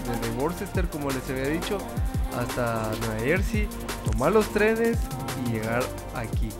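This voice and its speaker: A man casually narrates his travel vlog in a mid-range voice, pausing often with fillers as he explains practical tips. Loud music plays underneath him the whole time. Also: medium pitch. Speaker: vlogger narrating a road trip.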